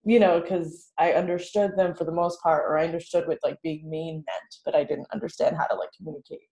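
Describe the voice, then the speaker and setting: A woman's voice: deep voice, fairly even intonation, a little fast, mellow. A woman whose first language is Vietnamese, a songwriter guest telling her story in a candid, chatty way, full of "like" and little laughs. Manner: chatty, filler-heavy, frequent "like" and laughs.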